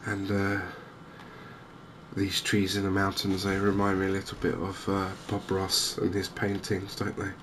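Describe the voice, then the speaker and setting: Low, slow, softly spoken man. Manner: gentle, unhurried, chatty, hesitant pauses. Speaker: gaming video host. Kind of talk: softly spoken ASMR game commentary. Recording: clear steady background noise and ambience.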